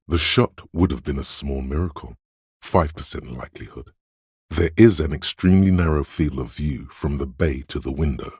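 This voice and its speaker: A man voicing a detective's analytical inner voice for a video game, in precise, measured, dryly observant narration with a gravelly, husky tone. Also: very deep voice, darkish tone, dry recording, studio quality, heavily acted. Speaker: game voice actor.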